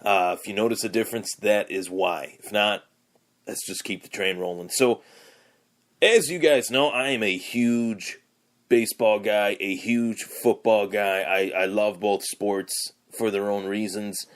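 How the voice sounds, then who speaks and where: Young man hosting a podcast solo, a bit hoarse and raspy, lowish voice, loose and rambling. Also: fairly even intonation, measured pace. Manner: rambling, with many fillers and restarts. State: hoarse from yelling. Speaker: podcast host. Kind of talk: casual solo sports podcast.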